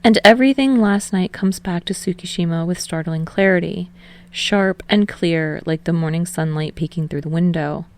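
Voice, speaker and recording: A woman's voice: lowish voice, normal speed. A woman reading scripted fiction aloud as an audiobook narrator.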